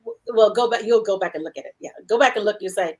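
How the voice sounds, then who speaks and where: A woman's voice: medium pitch, quick pace, a little mellow. A woman hosting a casual livestream interview over a video call, chatty and rambling as she reads out viewer comments. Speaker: show host.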